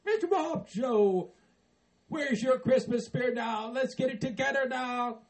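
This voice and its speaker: A man's voice: very high voice, a little slow. A man playing a cheerful, Santa-like boss of the elves who coaxes in a sing-song way. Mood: coaxing. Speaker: comedy character.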